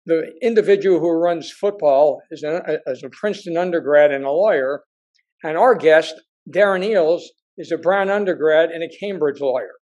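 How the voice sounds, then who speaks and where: Halting male interview host. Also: on the high side, a little mellow, rough recording. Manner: halting, repeats words while framing questions. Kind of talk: interview questions.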